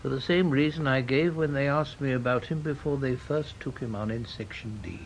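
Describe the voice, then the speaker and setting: Male actor as elderly English ex-spymaster for radio drama: gravelly, formal, guarded, dryly evasive, faint music behind. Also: mid-range voice, normal speed, natural voice, poor audio. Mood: wistful, measured. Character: elderly reserved British ex-intelligence official, dryly evasive.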